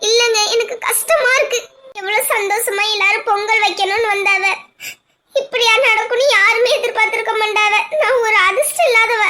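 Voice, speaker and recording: A woman's voice: extremely high pitch, steady tone, a little fast, bright, forceful tone. A woman playing a young wife who weeps and calls herself unlucky, in an amateur family drama. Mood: tearful. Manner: sobbing, self-blaming lament. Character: tearful young wife, sure she is unlucky. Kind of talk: amateur domestic drama acting.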